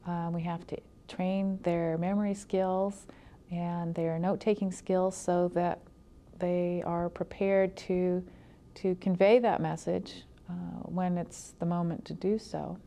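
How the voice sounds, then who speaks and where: A woman college instructor explaining her field in an interview in a measured, deliberate way. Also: on the low side, flat delivery, warm tone.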